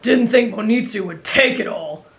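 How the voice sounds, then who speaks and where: A woman's voice: mid-range voice, expressive, moderate pace, fairly bright tone, soft background music. A woman playing a brash, stubborn teenage boy raised in the wild, blunt and childlike, in an anime fan drama. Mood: blunt, curious.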